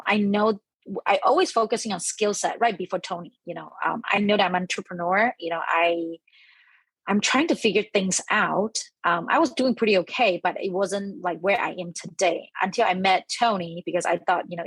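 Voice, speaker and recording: Slightly husky Malaysian woman podcast guest. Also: medium pitch, a bit bright, dry recording, rough recording. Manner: frequent "you know" and "right?" tags. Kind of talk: casual personal storytelling.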